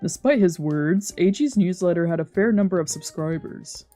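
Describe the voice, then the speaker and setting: A woman streamer reading visual novel narration aloud, plain and steady, with playful asides. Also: fairly low pitch, moderate pace, soft background music.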